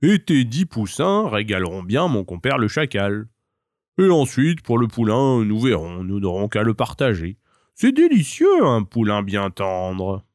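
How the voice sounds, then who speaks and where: A man's voice: lowish voice, sing-song, a little slow, a little mellow, dry recording, clean studio sound. A man voicing a greedy, lying fox, smug and theatrical, in a children's tale. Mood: gloating.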